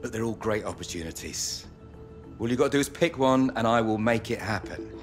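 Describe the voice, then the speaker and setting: An actor playing a brisk, chummy English football agent with a matey, persuasive manner in a video game. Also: male voice, on the low side, animated, music in the background, close to an everyday voice. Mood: excited, persuasive.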